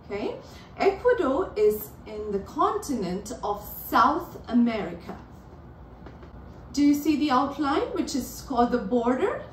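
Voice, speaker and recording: A woman hosting a children's circle time lesson, explaining things slowly and gently as a teacher. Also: high voice, a little hiss or hum. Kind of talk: children's lesson, slow and clear. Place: living room with plants. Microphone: far camera. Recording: roomy echo, from far off.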